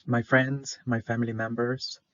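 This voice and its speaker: Shy young salvadoran guy presenting for class, flat voice, lots of uhs, dull dry recording. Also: medium pitch, normal speed, dry recording. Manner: hesitant, many fillers, shy. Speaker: student presenter.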